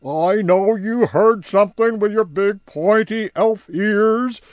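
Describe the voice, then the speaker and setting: A man voicing an eager, big-eared male animal postman who talks loudly and pleads insistently. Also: high-pitched, slow, dry recording, voice pushed up. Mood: eager, pleading.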